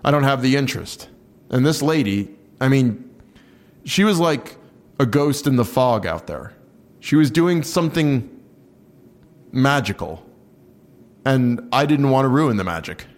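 Male beat cop for audio drama: mid-pitched, plain-spoken, guarded, offhand and a little reluctant. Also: lively intonation, a little slow, pauses often. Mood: defensive. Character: blunt, guarded male bridge patrol cop. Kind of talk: naturalistic audio drama acting.

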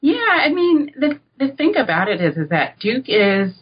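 A woman in her thirties, a political science professor, talking about her book in a chatty, animated way with many fillers. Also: normal speed, slightly rough. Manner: animated and chatty, with many fillers, rising in pitch when amused. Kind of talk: conversational interview. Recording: dull lo-fi audio.

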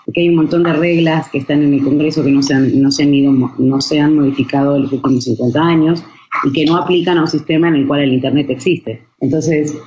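A woman's voice: deep voice, steady tone, fast talker, dark tone, slight background noise. A middle-aged Argentine woman with an Argentine Spanish accent, talking casually as a songwriter guest, restarting often and dropping English phrases into her Spanish. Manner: chatty, many restarts and fillers, mixes in English phrases. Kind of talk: casual interview talk. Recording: dull muffled recording.

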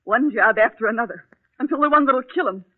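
A woman's voice: a little high, expressive, a little fast. An actress playing an anxious, pleading middle-aged working-class wife and mother in a 1940s radio drama, earnest and emotional. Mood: worried, insistent. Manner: emotional, earnest, plain-spoken. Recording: thin, narrow-band 1940s radio recording.

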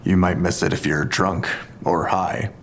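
An actor playing a skeptical police detective in an audio drama, talking in a terse, dry, deliberate way. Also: male voice, deep voice, fairly even intonation, a little hiss or hum. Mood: musing.

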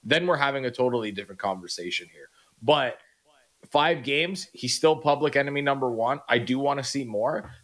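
A man co-hosting a sports talk radio show, breaking down the team in a measured, analytical way. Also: medium pitch, a bit bright.

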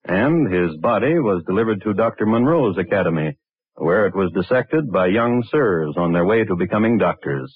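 An actor playing a wry, deliberate narrator of historic crimes in a 1950s radio drama. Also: male voice, low-pitched, animated, husky. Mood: wry, deadpan. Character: dry, deadpan male storyteller of historic crimes. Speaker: narrator and host. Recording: tinny old radio recording, faint music.

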